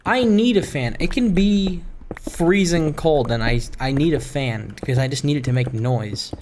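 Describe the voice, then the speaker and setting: Medium-pitched voice, casual gaming stream, hesitant, wry, wandering delivery, background noise on a home microphone. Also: unhurried, a little mellow. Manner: rambling, hesitant, self-interrupting. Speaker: gaming video creator and streamer. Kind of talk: casual rambling chat over Minecraft gameplay. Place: room at home.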